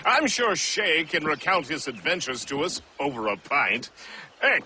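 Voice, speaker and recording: An actor playing a jovial, theatrical ship's mate in a video game cutscene, talking in a showy, drawn-out way. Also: male voice, fairly high pitch, very animated, bright, forceful tone, slight background noise, heavily acted.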